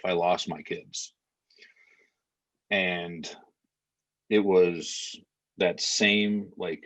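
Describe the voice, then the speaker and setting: Deep-voiced man telling a rambling story. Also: a bit bright. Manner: rambling, halting, full of fillers and restarts; plain, matter-of-fact storytelling. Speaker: podcast guest. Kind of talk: casual podcast conversation and storytelling. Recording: slightly muffled lo-fi podcast audio.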